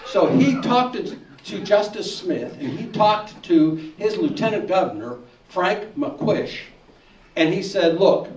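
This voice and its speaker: A man's voice: a little high, slight background noise. A man, speaking on a panel at a public forum and arguing his case with a story. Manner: persuasive, storytelling. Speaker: bar association president on a panel. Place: church hall forum. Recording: echoey hall, distant mic.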